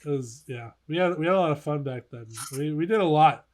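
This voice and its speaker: Higher-voiced young man, animated podcaster. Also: a little fast, slight background noise. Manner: animated, giggly storytelling. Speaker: podcast co-host. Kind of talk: casual podcast chat, reminiscing.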